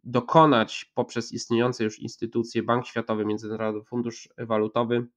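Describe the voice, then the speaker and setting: A man's voice: medium pitch, flat delivery. Hesitant man analysing on a video call. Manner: measured, many hesitations and restarts. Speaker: geopolitics commentator. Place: home room, indoors. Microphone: video call, earphones with mic. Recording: slightly dull lo-fi call audio.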